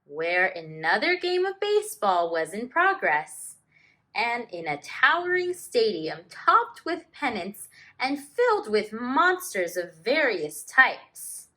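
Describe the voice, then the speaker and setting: A woman with a high, bright voice speaks as a teacher sharing a story with young children. She reads expressively and takes her time, with lively swings in pitch and deliberate emphasis on key words. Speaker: teacher reading a picture book aloud.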